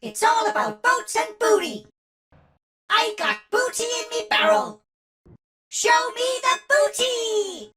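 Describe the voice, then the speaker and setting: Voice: extremely high pitch, sing-song, slow, faint music behind, heavily acted. An actor voicing a boisterous, boastful robot for a video game, with theatrical exclamations. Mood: boastful, gleeful. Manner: theatrical pirate talk, exclamatory shouting. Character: boisterous robot pirate in a barrel, cartoonish braggart. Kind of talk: video game character voice lines.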